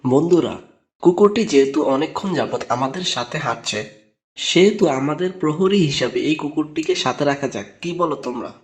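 A man's voice: a little high, expressive, brisk, lightly acted. A man voicing an earnest, friendly and resolute devout young man in a cartoon. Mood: eager.

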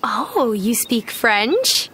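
A friendly young newcomer in a cozy video game. Her voice is high and animated, with a courteous, slightly hesitant manner. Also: drawn-out words, a little hiss or hum, exaggerated voice. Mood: friendly, unsure. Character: polite, friendly young woman newly moved to town.

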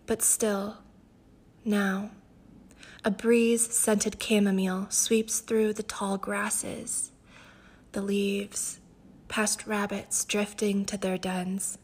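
A woman, a poet, introducing and reading her own work with a gentle, breathy delivery. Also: medium pitch, level tone, takes it slowly. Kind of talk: poetry recitation with spoken introduction.

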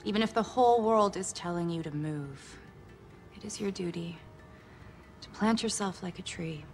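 An actress playing a solemn, resolute woman giving a quiet, measured eulogy in a film drama. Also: lowish voice, close to an everyday voice. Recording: film clip with music underneath.

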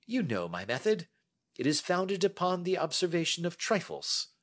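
Man voicing a Victorian detective, precise, self-assured, lecturing, fairly high voice, lively pitch. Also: normal speed. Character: brilliant, confident Victorian male detective.

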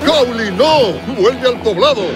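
A man's voice: extremely high pitch, expressive, unhurried. A man voicing a decisive animal hero who leads his clan firmly in a cartoon. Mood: urgent commanding. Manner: firm, commanding. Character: decisive heroic male animal clan leader. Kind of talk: cartoon dub acting. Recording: loud music over the voice.

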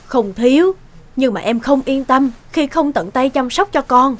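A woman's voice: high-pitched, lively intonation, a little slow. A woman voicing an overprotective middle-aged mother in a cartoon, anxious, insistent and domineering. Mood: worried. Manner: fretful, insistent.

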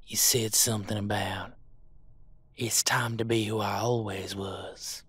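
A man's voice: on the low side, steady tone, unhurried, a bit bright, husky, dry recording. A man voicing a vengeful, taunting monster hunter with a menacing drawl in an audio roleplay. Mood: bitter.

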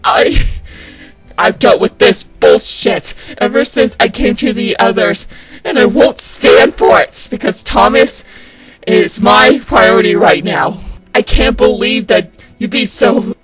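A bitter, anxious young man, acted by a woman in an amateur comic dub. He is hurt and fed up, speaking slowly and haltingly with strain, over faint music. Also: medium pitch, animated, breathy edge, heavily acted, low-quality recording. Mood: furious outburst.

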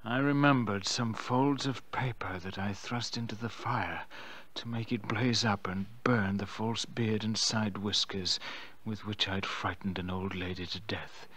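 An actor playing a scheming, nervy murderous nephew in a radio drama, agitated and prone to stammering outbursts. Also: male voice, fairly low pitch, steady tone, unhurried, few pauses, a bit bright, slight background noise. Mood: haunted.

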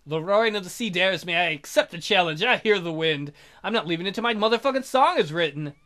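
A man's voice: high voice, lively intonation, quick pace, pushed and bright. Irreverent man reading a game aloud. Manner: irreverent, crude joking. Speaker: let's play host. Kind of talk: reading visual novel aloud with asides. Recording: voice over game music. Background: game music.

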